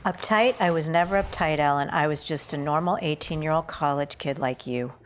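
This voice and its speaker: A woman with a slightly low voice discusses a script at a table read. She is polite and a little tentative, moving between casual talk and lines she reads out. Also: a little fast, a little hiss or hum.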